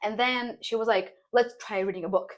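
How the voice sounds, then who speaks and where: Fast-talking young woman, podcast guest. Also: mid-range voice. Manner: fast, animated storytelling with slang. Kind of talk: casual podcast chat.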